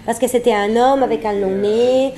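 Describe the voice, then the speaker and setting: A woman's voice: a little high, steady tone, moderate pace, warm tone. A woman from Venezuela speaking French as a second language with a Spanish accent, chatting playfully as an interview guest and often repeating phrases for emphasis. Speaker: guest, singer-songwriter. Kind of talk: casual, playful interview chat.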